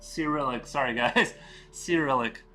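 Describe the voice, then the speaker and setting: A man streaming while he draws, chatting casually in a rambling, relaxed way with lots of fillers. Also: mid-range voice, unhurried, faint music behind. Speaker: streamer and artist. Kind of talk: casual live-stream chat while drawing.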